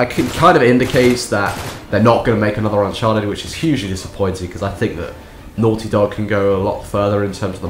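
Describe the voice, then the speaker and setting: Croaky, nasal young man. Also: on the low side, slight background noise. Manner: rambling, sarcastic, many restarts. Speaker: gaming commentator. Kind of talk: casual gameplay commentary. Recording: echoey room with faint game sound.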